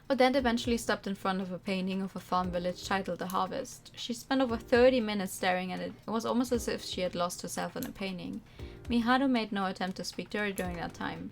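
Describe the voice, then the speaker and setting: Young woman streaming over soft music. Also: mid-range voice. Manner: animated, informal, rambling asides. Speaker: streamer playing a visual novel. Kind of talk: read-aloud narration mixed with casual chat. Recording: music behind the voice. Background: background game music.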